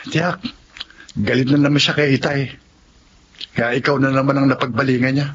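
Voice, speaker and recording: A man acting a caring, earnest student and younger brother in a Tagalog radio drama, speaking gently. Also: mid-range voice, little pitch movement, normal speed, close to an everyday voice. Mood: concerned, consoling. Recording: dull lo-fi radio audio, faint noise.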